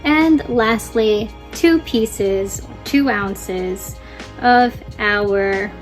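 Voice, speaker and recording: A woman's voice: medium pitch, very slow, soft background music, rough recording. A young Filipino-American woman, a native Tagalog speaker, cheerfully talking viewers through a cooking demonstration step by step. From Philippines. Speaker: cooking channel host.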